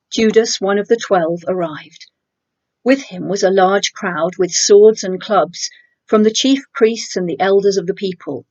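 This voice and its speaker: A woman's voice: medium pitch, darkish tone, lo-fi sound. An older woman reading scripture aloud as a congregation member. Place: room with bookshelves, indoors.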